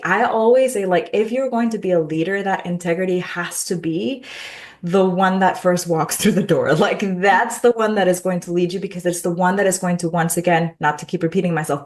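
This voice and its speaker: A woman with a Mexican accent talks with a somewhat low voice. She is emphatic, piling up phrases in repeating lists, and sounds a little tired. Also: a little mellow. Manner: emphatic and repetitive, builds lists. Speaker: guest, self-love mentor. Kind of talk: heartfelt interview talk.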